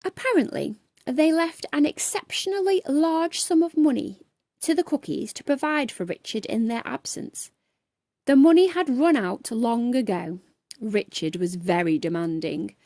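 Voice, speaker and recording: A woman reads a children's storybook aloud, expressively and with dramatic, deliberate emphasis. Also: fairly high pitch, animated, a little mellow, no echo, clean studio sound. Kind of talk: expressive bedtime story narration.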